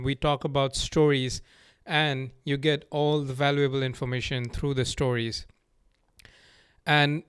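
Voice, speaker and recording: A man hosting a podcast, talking in a relaxed, friendly way with frequent laughs. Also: on the high side, smooth, no room sound, clean studio sound. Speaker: podcast host. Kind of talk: casual podcast chat.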